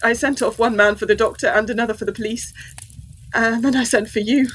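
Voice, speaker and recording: High-voiced, anxious Victorian gentlewoman. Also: fairly even intonation, a little fast, husky. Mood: shaken, distressed. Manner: dramatic, anxious, refined. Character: distraught, well-bred young Victorian gentlewoman, devoted daughter. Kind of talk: gothic audio drama acting.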